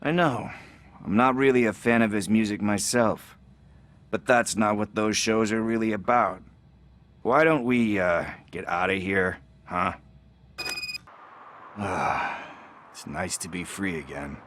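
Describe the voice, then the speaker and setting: An actor playing a streetwise police informant in a video game, glib and slippery but likeable, with casual, hesitant phrasing. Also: male voice, lowish voice, unhurried, long pauses, a bit bright. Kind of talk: video game cutscene acting.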